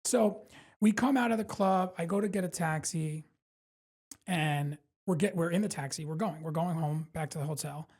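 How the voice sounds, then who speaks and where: A man's voice: fairly high pitch, normal speed. A male comedian with a New York accent, telling a rambling, profane story in a casual podcast chat. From Brooklyn, New York. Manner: animated, profane, rambling, full of fillers and self-mockery. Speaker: stand-up comedian guest.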